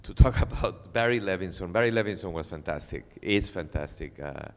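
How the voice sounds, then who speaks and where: A cinematographer, telling lively, joking stories at a festival talk. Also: male voice, deep voice, a little fast. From Peru. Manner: animated, joking, mimics others' voices. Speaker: cinematographer, guest speaker. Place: large auditorium, indoors. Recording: long reverb of a large hall.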